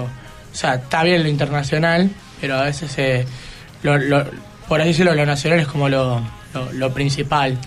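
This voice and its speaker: Teen boy radio guest, slightly high voice, hesitant delivery, light music behind. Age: teenager. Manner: hesitant, searching for words. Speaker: student guest on school radio show. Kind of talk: reading a report and informal opinion. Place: radio studio.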